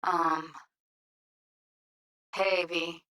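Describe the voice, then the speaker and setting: A woman's voice: on the low side, level tone, drawn-out words, pushed and bright, clear, resonant tone, no echo, clean studio sound, full character voice. An actress playing a tough, candid young woman technician in a video game, talking in a casual, slangy, blunt way. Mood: wistful, subdued. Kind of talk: naturalistic game voice acting.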